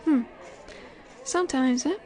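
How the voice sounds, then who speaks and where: Woman acting a high-voiced, slow-teasing werewolf alpha girl. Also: mellow. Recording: bar crowd ambience behind voice. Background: bar chatter ambience.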